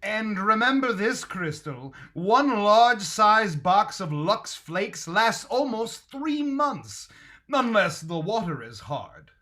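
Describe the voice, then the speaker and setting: A genial 1940s-style radio announcer, played by a man. He speaks slowly and brightly, with sweeping intonation and a theatrical pitchman's polish. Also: big pitch swings, heavily acted. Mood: salesmanlike.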